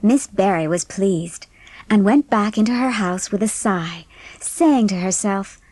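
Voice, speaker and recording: A woman with a husky voice narrating a storybook in a scripted audiobook style. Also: mid-range voice, a little slow, darkish tone, no echo.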